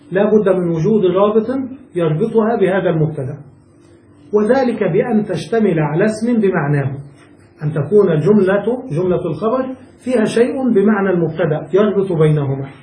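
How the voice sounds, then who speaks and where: A man's voice: high-pitched, warm tone, roomy sound, recorded from a distance, low-quality recording. A man teaching a classical Arabic grammar lesson, explaining step by step and checking that students follow. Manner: patient, asks checking questions to students.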